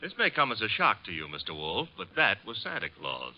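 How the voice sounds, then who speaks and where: An actor playing a wry, breezy, wisecracking detective's assistant in an old radio drama. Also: male voice, fairly low pitch, wildly swooping pitch, fairly quick, full character voice. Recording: muffled old radio recording, faint music.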